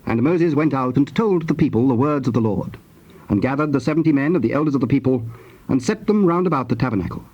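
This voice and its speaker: A man narrating dramatized scripture in archaic English in a measured, formal manner. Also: medium pitch, animated, husky. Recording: dull, very noisy old tape.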